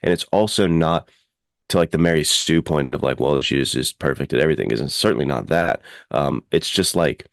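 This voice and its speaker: A man's voice: a bass voice, fairly quick, vocal fry, no room sound, clean studio sound. A man chatting casually and enthusiastically as a podcast co-host, rambling informally through his opinions. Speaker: podcast co-host and adventure fiction writer.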